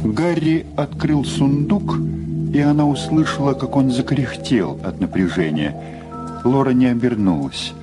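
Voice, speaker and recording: A man's voice: medium pitch, a little slow. A man narrating an audio drama in a dramatic, scripted storytelling style. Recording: clear music behind the voice.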